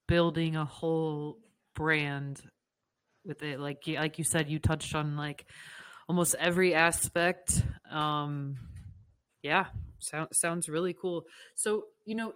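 A woman's voice: low-pitched, a bit bright. A woman hosting a podcast, asking questions casually with lots of "like" and "um". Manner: filler-heavy, says "like" a lot. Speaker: podcast host. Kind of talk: casual interview chat.

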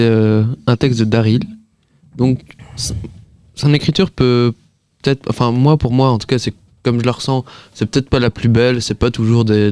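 A man's voice: medium pitch, a little mellow, clear, resonant tone, no echo. A man co-hosting a casual radio chat, talking hesitantly with many fillers. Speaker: radio show co-host.

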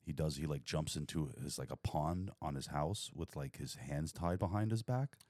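A man's voice: very deep voice, normal speed, a little mellow, no echo, studio quality. A man co-hosting a podcast, bantering in a crude, teasing, joking way. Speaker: podcast co-host. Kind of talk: casual banter.

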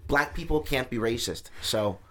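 A man's voice: mid-range voice, animated, normal speed. Man, podcast guest, casual chat, joking, blunt, profane.

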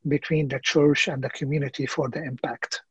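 A man, a ministry leader talking in a halting, earnest way with many fillers as a podcast guest. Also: mid-range voice, level tone, fairly bright tone, breathy edge, dry recording, muffled recording. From Egypt. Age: late 50s. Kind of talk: casual interview conversation.

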